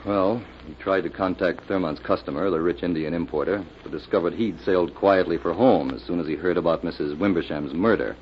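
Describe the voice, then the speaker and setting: An actor playing a desperate, sullen gangster in an old-time radio crime drama. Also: male voice, low-pitched, brisk, hardly any pauses, natural voice. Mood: sullen confessing. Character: desperate cornered male gangster turned sullen confessor. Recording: muffled, noisy old radio recording.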